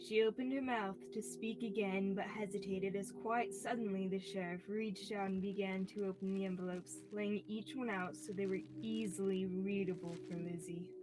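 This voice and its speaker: A woman's voice: mid-range voice, steady tone, moderate pace, hardly any pauses, music in the background, low-quality recording. A young woman reading scripted narration for a radio-style mystery play. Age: young adult.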